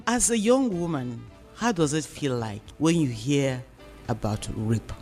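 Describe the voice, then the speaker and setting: A woman with a Nigerian accent hosting a radio discussion programme, phrasing her questions and points deliberately and emphatically. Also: very deep voice, expressive, a little mellow, faint music behind.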